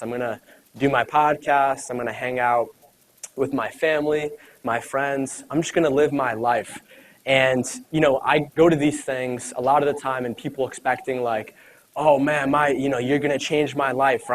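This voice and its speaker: Young guy giving a motivational talk, chatty, lots of "you know"s, mid-pitched voice. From United States. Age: 20s. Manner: casual, conversational, many fillers and "you know". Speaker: speaker at an event. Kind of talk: motivational talk to a live audience.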